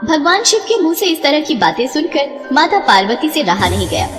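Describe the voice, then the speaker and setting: High-voiced woman narrating a devotional tale. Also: very animated, fairly quick, fairly bright tone, big-room sound, faint music behind. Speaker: story narrator. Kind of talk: scripted devotional storytelling narration.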